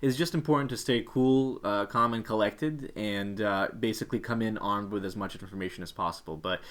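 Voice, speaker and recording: A man with a lowish voice gives career-coach advice as a co-host. His delivery is informal and instructional, speaking straight to the audience at home. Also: fairly quick, fairly bright tone.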